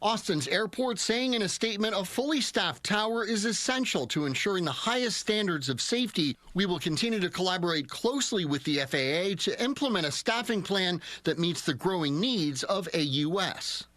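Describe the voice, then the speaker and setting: A man's voice: high-pitched, expressive, a little fast, hardly any pauses, fairly bright tone, no room sound. An American man working as a television reporter, delivering scripted news narration and live reporting.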